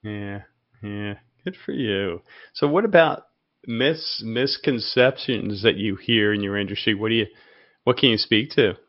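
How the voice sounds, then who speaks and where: A man's voice: fairly low pitch, unhurried, lo-fi sound. A man hosting a podcast, interviewing a guest with upbeat, loosely phrased questions and short affirmations. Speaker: podcast host. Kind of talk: casual interview chat.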